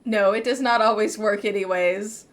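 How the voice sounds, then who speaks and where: A woman's voice: medium pitch, steady tone, normal speed. A woman giving casual, chatty playthrough commentary with wry asides as a gaming host.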